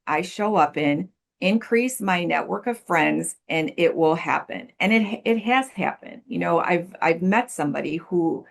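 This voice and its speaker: A woman's voice: lowish voice, unhurried, gravelly. A woman in her sixties, a podcast guest answering thoughtfully over a video call, earnest and repeating words as she searches for them. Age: 60s. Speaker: podcast guest, life coach. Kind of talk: reflective interview answers.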